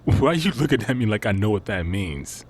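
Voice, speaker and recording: An actor playing a brash, cheeky, excitable sidekick in an audio drama, with slangy, expressive delivery. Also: male voice, fairly low pitch, fairly quick, slight background noise. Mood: skeptical. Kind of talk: naturalistic audio drama acting.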